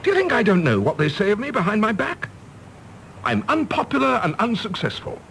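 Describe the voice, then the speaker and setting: An actor playing a proud, embittered English career diplomat, formal, clipped and argumentative, in a period radio drama. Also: male voice, high-pitched, sing-song, fairly quick, vocal fry, fairly bright tone, a little hiss or hum, heavily acted. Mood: bitter. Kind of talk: period stage-play radio drama acting.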